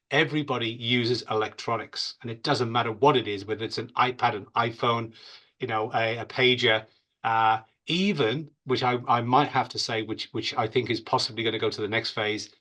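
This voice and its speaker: A man's voice: mid-range voice, bright, forceful tone. A man giving off-the-cuff expert security analysis in an interview, conversational and emphatic, leaning on stock phrases.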